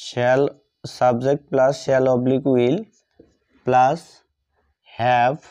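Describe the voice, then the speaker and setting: A man's voice: mid-range voice, little pitch movement, very slow, mellow. A man teaching a grammar lesson step by step, dictating word by word and repeating himself. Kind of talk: step-by-step lesson, writing out examples. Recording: dull lo-fi sound.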